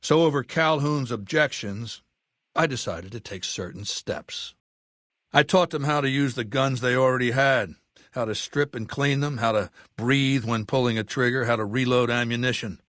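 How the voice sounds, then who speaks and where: An actor playing a ruthless, cultured warlord in a video game, speaking in a measured, imperious, matter-of-fact way. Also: male voice, medium pitch, a bit bright, no room sound, studio quality, close to an everyday voice. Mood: cold, instructive. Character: ruthless, cultured warlord dictator in middle age. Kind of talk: dramatic game dialogue monologue.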